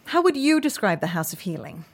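Prim, very animated inspector. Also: female voice, mid-range voice, a little fast, husky. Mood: bright, reassuring. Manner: prim, procedural, bureaucratic politeness. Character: officious, politely upbeat female government inspector, a bureaucrat. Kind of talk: comic fantasy audio drama acting.

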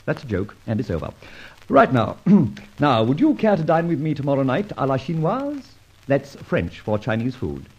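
A man acting a flippant, breezy gentleman adventurer in an old radio drama, bantering with polite little stammers. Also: mid-range voice, wildly swooping pitch, normal speed, breathy edge, low-quality recording. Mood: delighted, teasing.

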